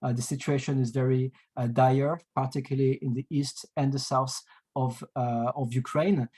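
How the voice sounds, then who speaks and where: A man from France with a French accent, hosting an online webinar in a halting way, full of fillers and restarts, heard over a video call. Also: mid-range voice, level tone, mellow, slightly rough. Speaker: webinar host.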